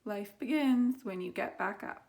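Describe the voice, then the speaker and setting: A woman, a teacher, reading a children's picture book aloud with expressive, deliberate phrasing and dramatic pauses. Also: mid-range voice, gravelly, slight background noise.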